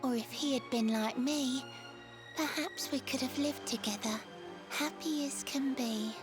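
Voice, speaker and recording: A woman's voice: high-pitched, unhurried. A woman voicing a lovelorn, wistful mermaid in a video game, speaking theatrical rhymed verse in a lilting, sighing way, with music behind her. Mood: wistful, tender.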